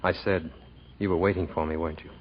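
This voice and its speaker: An actor playing an affable, plain-spoken American bachelor writer in 1940s radio drama, direct and probing in manner. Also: male voice, low-pitched, steady tone, fast talker, close to an everyday voice. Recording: muffled, noisy old radio recording.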